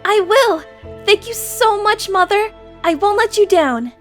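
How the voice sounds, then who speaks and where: A woman voicing an excitable, anxious young female alicorn pony in a gushing, rambling cartoon audio drama style, over music. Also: very high-pitched, unhurried, exaggerated voice. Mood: elated. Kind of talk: animated audio drama acting.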